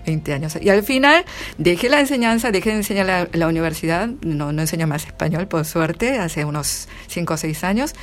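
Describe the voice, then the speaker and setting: A woman's voice: on the low side, lively intonation, brisk. A middle-aged woman with an Argentine accent, a literary translator chatting in an interview with many restarts and frequent laughs. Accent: Argentine Spanish. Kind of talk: casual interview answers.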